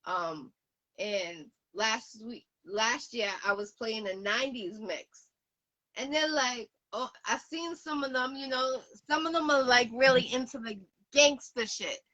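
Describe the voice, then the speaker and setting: A woman in her forties from Boston, hosting an interview show and telling stories in a chatty, animated way. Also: fairly high pitch, unhurried, poor audio. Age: 40s. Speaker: show host. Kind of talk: casual interview chat.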